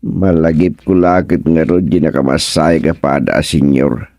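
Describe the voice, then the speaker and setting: A man playing a flustered older village councilman in an Ilocano radio comedy, muttering and exclaiming with drawn-out words in an Ilocano accent. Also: a bass voice, steady tone, mellow, no echo. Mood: grumbling, musing.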